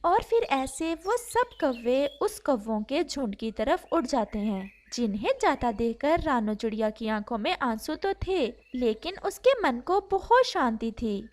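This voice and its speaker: Lady narrating a kids cartoon story, high voice, scripted storyteller style. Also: very animated, a little slow, few pauses, darkish tone.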